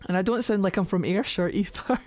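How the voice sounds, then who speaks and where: A young Scottish woman chatting away during a game stream, rambling from one tangent to the next and laughing often. Her voice is mid-pitched, and the sound is dry and close, with no room echo. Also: a little fast. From Scotland. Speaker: livestreamer. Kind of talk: casual chat while gaming.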